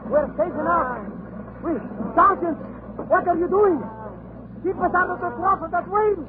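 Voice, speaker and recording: Commanding Tsarist inspector, old radio. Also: male voice, very high voice. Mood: urgent commanding. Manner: brisk, commanding. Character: authoritative male Tsarist government inspector, impatient and commanding. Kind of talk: old-time radio drama acting. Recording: tinny, noisy old radio recording.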